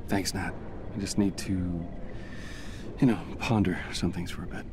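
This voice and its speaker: An actor playing a brooding, anxious scientist in a video game, speaking in a hesitant, halting way. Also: male voice, low-pitched, steady tone, noisy background, close to an everyday voice. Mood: uneasy, brooding. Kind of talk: video game cutscene acting.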